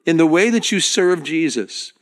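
A man preaching to his congregation as their pastor, speaking earnestly and directly with halting restarts. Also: fairly high pitch, animated. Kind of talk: sermon.

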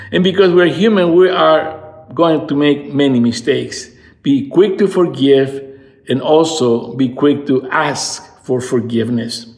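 Earnest man in his sixties, echoey room. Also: a little high. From Peru. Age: 60s. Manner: earnest, fervent, rising to emphatic peaks. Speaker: pastor. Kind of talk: devotional sermon.

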